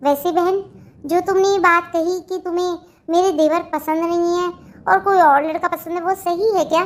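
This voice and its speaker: A performer plays a gossipy young sister-in-law in a family cartoon. The pitch is extremely high, and the manner is chatty, probing and smoothly placating. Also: fairly even intonation, moderate pace. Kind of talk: Hindi family comedy cartoon dialogue.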